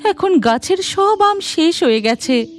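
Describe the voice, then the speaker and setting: A woman's voice: very high voice, animated, slow pace, faint music behind, exaggerated voice. A woman voicing a devout, humble, hospitable village wife in a Bengali cartoon. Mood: worried.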